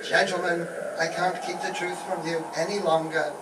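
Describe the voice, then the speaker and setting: Man acting a high-pitched blustering army major, distant. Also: level tone, normal speed, natural voice. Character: pompous blustering British army major, an explorer. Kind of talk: comic radio play acting before an audience. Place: live stage show in a room, picked up from afar. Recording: roomy, distant live stage recording with noise.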